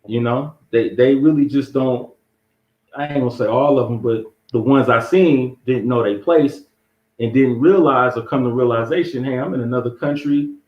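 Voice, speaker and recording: A laid-back man with a Southern US accent spins loose travel stories, full of slang and quick laughs. His voice comes through a muffled remote call and rings in an echoey room. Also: mid-range voice. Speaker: interview guest. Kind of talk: casual interview chat.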